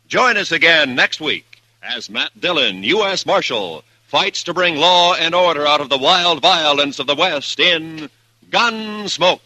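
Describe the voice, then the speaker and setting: Bright, lively male radio announcer. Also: on the high side, rough recording. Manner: crisp, emphatic announcer delivery.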